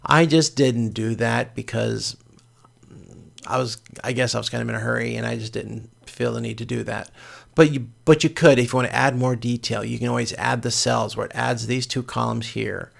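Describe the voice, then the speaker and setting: A man giving an informal, step-by-step spreadsheet lecture as a course instructor, with a gravelly, creaky voice. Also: medium pitch, steady tone, moderate pace. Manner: explains step by step in plain informal words.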